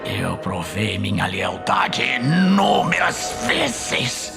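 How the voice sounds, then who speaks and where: A weary orc warlock acted by a man in a fantasy audio drama. He mutters in a breathy rasp, slow and resentful, with stubborn pride. Faint music sits behind him. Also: fairly low pitch, very animated, exaggerated voice. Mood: angry, indignant.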